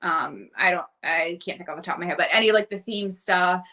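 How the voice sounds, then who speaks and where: A woman with a Baltimore accent co-hosting a podcast in casual chat, rambling with many "like"s and quick self-corrections. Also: fairly low pitch, pushed and bright. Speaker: podcast co-host.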